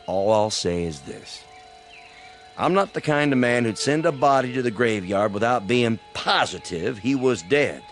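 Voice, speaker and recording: An actor playing a dignified, defensive small-town American doctor in a radio drama, speaking in a measured way. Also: male voice, medium pitch, lively intonation, faint music behind, lightly acted. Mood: defensive, firm.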